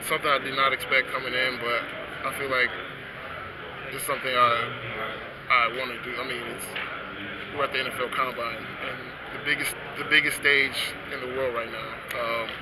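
A young man in his twenties answers questions at a busy event, soft-spoken and halting, with frequent restarts. His voice stays flat and level. Loud room noise fills the background. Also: medium pitch. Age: 20s. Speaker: football prospect. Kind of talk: press interview answers. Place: busy indoor event hall.

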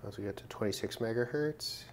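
Low-voiced man thinking aloud. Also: a little fast, a little hiss or hum. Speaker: hobbyist demonstrating a shortwave radio test. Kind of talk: informal hands-on gear demonstration. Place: indoors at a work desk.

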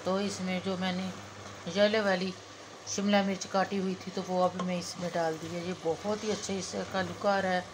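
Woman, home cooking-channel host, low and even delivery, phone recording with steady kitchen noise. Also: moderate pace. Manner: chatty, talks viewers through each step. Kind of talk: casual cooking vlog narration. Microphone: phone camera held over the pot.

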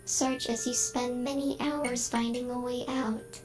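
Synthetic woman voicing a lonely AI girl, high voice, slow, earnest, polite, game music behind. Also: flat delivery, natural voice. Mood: anxious. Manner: polite, earnest, oddly emotional. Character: lonely female AI trapped in a computer. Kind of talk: game character dialogue.

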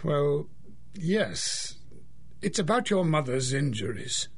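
A man playing a kindly, frank older family doctor in a radio drama, measured and husky. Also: a little high, very animated, heavily acted. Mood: grave. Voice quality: gravelly, husky. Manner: measured, gently reassuring. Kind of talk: 1930s-style radio drama acting.

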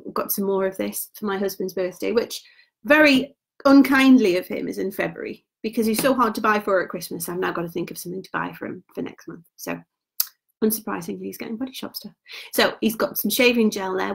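A woman, chatting casually on a live stream about gift sets, her voice going hoarse. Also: mid-range voice, slight background noise, rough recording. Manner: chatty and informal, trails off quietly. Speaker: beauty product consultant.

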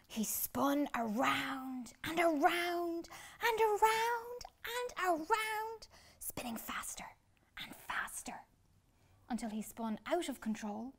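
A woman reads a children's picture book expressively, with deliberate dramatic pauses. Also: extremely high pitch, very animated. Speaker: children's storytime reader. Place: small furnished room indoors.

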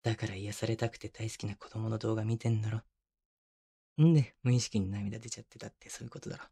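A man playing a tender young boyfriend who teases and mock-scolds in casual, rough-edged speech, in an intimate binaural ASMR audio drama. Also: flat delivery, quick pace, mellow. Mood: tender soothing. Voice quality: medium-low voice. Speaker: voice actor. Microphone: close binaural microphone.

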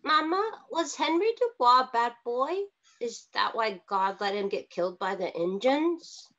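An innocent, hungry little boy, acted by a woman in a radio drama. The speech is slow and childlike, with a very lively high pitch. It sounds slightly lo-fi. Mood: innocent, puzzled. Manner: childlike, halting, innocent questioning. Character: eager, curious little boy, hungry for cornbread. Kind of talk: radio drama read-through. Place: home room, remote video call. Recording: dull lo-fi video call.

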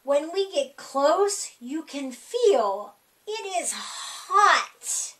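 A middle-aged woman reading a rhyming children's picture book aloud in an animated, sing-song, deliberate way for young kids. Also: sits high. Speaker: library storytime reader. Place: small indoor room.

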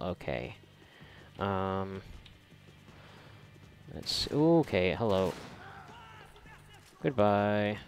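A man giving casual, reactive commentary while gaming, sarcastic and foul-mouthed, with a gravelly voice. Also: low voice. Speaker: gamer recording a playthrough. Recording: noisy, game gunfire behind voice. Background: video game gunfire and ambience.

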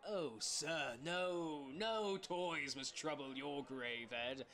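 A man acts a con artist in an audio comedy, all flattery and scheming. His voice is bright and forceful, and he takes his time with a theatrical, obsequious delivery. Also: fairly high pitch, slow pace. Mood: flattering. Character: scheming, flattering swindler with a bossy streak. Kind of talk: amateur audio-drama comic acting.

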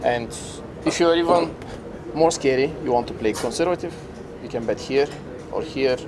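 A man in his thirties, a casino host, patiently explaining a table game in halting, non-native phrasing. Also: mid-range voice, a crowd in the background. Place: casino floor on a cruise ship.

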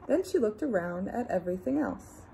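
A young adult woman reading a picture book aloud to young children, expressive and deliberate, with playful asides. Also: mid-range voice, gravelly, noisy background. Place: small room indoors.

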